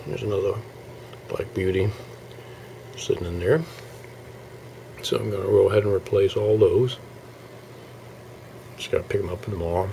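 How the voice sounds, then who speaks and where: Guy with a low mellow voice doing a casual show and tell, thinks out loud, bit of noise behind him. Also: creaky, low-quality recording. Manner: halting, thinking aloud while showing parts. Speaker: hobbyist radio restorer presenting his project. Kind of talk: casual informal video walkthrough.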